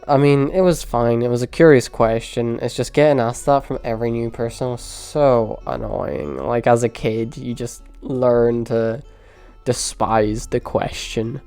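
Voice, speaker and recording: A man's voice: darkish tone, smooth. Dry-humored young Northern Irish man. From Poland. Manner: dry, self-deprecating, low-key delivery. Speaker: vlogger. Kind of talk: casual storytelling monologue.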